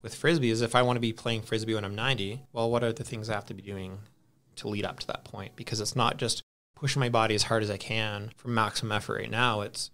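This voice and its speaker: A man's voice: fairly low pitch, fairly even intonation, fast, a bit bright. A man in his thirties, a podcast guest, chatting casually in an easygoing, rambling way and laughing at himself. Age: 30s. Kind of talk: casual chat.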